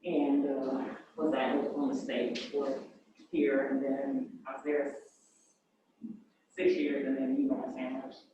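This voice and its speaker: A woman's voice: extremely low pitch, steady tone, a little slow, mellow, slight background noise. A woman in her fifties from Illinois, an academic advisor chatting casually and greeting students before a workshop. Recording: echoey room, distant dull mic.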